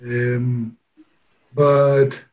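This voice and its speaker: A man from Peru speaking as an interview guest, telling his story in a hesitant way with many pauses and restarts. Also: medium pitch, level tone. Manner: hesitant, with frequent restarts and pauses while recounting. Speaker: interview guest, company founder. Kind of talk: casual interview conversation.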